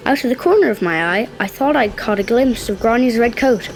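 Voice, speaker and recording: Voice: medium pitch, lively intonation, fairly quick, lightly acted, poor audio. A performer playing a cross, wistful young boy, telling his story in a confiding, reflective way in a children's audio drama. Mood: awed. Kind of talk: first-person narration in children's audio drama. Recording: music clearly behind the voice.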